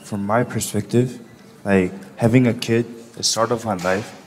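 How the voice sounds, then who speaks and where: A man's voice: fairly low pitch, steady tone, moderate pace, slight background noise. A young man from South Korea, a freshman and non-native English speaker, answering steadily with frequent fillers. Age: young adult. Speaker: freshman student. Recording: echoey lecture hall.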